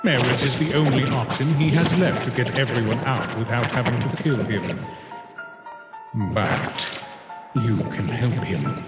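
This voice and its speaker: Man as manipulative schemer, theatrical, comic dub, slow delivery, drenched in reverb. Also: mid-range voice, natural voice. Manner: deliberate, persuasive, theatrical. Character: manipulative male schemer urging a warrior, grave and calculating. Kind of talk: dramatic comic dub voice acting. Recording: echoey reverberant sound under loud music.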